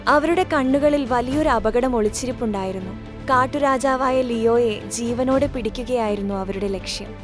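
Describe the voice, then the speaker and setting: A woman's voice: on the high side, normal speed. A woman narrating a scripted children's story as a storyteller. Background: clear background music.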